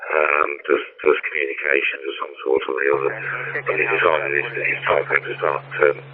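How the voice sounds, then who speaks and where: A man's voice: extremely low pitch, flat delivery, moderate pace, a crowd in the background. A British man chatting casually and at rambling length as an amateur radio operator. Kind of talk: casual chat over ham radio. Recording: tinny narrowband radio, distant-sounding.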